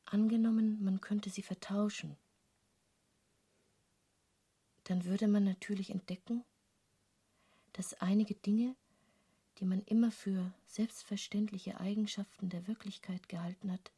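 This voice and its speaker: An actress in a literary radio play playing an intense, searching woman painter, speaking intimately and deliberately in a breathy, creaky half-whisper. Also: medium pitch, fairly even intonation, mellow. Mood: yearning, intense. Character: intense female painter hungry for forbidden knowledge, musing.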